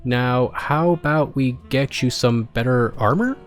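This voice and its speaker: A man reads for a cheerful undead pony mare in a storybook tale. The words come slowly and carefully, like notes read aloud, a touch higher than narration. Faint music plays underneath. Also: natural voice. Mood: kindly, measured. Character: mute, cheerful ghoul pegasus mare's written notes.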